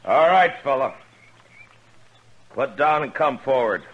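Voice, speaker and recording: An American actor playing a steady, terse US Marshal in a 1950s western radio drama, speaking with measured authority. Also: male voice, mid-range voice, lo-fi sound. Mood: commanding. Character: grim, steady male US Marshal of the old West. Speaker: lead actor and first-person narrator. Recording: band-limited old radio recording, music behind.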